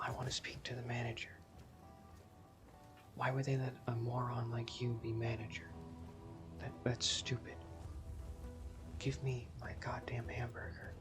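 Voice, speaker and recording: A man's voice: medium pitch, lightly acted. A man voicing a rude, entitled male customer who insults staff while trying to return a hamburger, all in a whisper.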